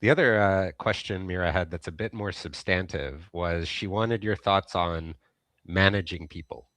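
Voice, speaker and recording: A man's voice: sits low, normal speed, a bit bright, no echo. A man hosting an interview podcast, asking questions and chiming in with short friendly affirmations. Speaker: podcast host. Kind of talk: interview questions, casual chat.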